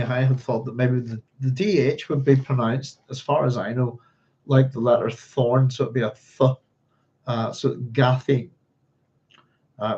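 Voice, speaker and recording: A man's voice: medium pitch, darkish tone, dull audio, a little hiss or hum. A man with a Northern Irish accent hosting an informal book club, talking through a novel in a rambling, scattered way and often thinking aloud. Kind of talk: informal read-along commentary on a novel.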